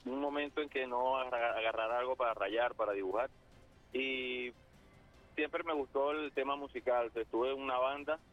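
A man's voice: medium pitch, fairly even intonation, moderate pace, faint music behind. A man with a Zulian Venezuelan accent, a guest on a phone interview who talks hesitantly with many fillers. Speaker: guest muralist. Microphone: phone line. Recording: muffled phone line.

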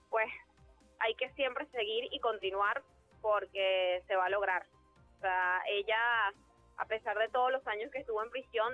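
A young woman talks earnestly and thoughtfully, often pausing to gather her words. Her fairly high voice comes through a tinny phone line, with faint music underneath. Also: no echo. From Venezuela. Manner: earnest, thoughtful, with many hesitations. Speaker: actress, interview guest. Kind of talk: phone interview.

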